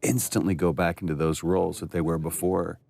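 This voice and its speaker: A man with a very deep, gravelly voice looks back on his acting in game cutscenes. He sounds enthusiastic and reflective, and his low voice drops into fry. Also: little pitch movement, a little fast, a little mellow. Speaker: actor commenting on his role. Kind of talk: cutscene commentary.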